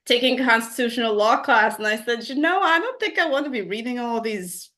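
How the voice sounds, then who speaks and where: Woman in her 40s from guyana, bright clear voice, chatty storyteller w lots of ums. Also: a little high, animated, fairly quick. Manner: storytelling, warm laughs, many fillers. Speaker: guest nurse practitioner. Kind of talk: interview conversation.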